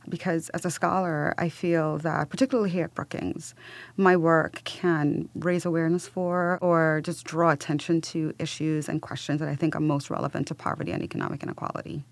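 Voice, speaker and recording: A woman's voice: sits low, no room sound. A woman from Trinidad and Tobago, a research fellow, introducing herself in a reflective, earnest way on a podcast. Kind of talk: self-introduction in a podcast segment.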